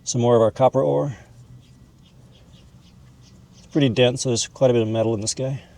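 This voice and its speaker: A man's voice: medium pitch, fairly even intonation, moderate pace, vocal fry, warm tone, slight background noise. Man giving a folksy, informal explainer. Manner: folksy, calls objects "this guy". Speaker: host, mineral hobbyist educator. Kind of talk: informal explainer, showing specimens.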